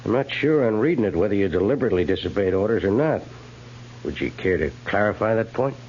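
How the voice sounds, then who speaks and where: An actor playing a cautious, bureaucratic army major in a 1950s American radio drama, measured and official with some hesitation. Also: male voice, lowish voice, lively intonation, a little mellow, slight background noise. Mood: stern. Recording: muffled, humming 1950s radio recording.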